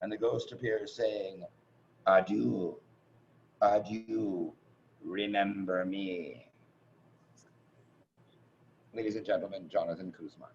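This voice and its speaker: A man hosting a reading on a video call, introducing performers informally in an effusive, emphatic, halting way. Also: low-pitched, fairly even intonation, a little mellow. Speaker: host, director and acting teacher. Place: remote host on video call. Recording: muffled, noisy video call.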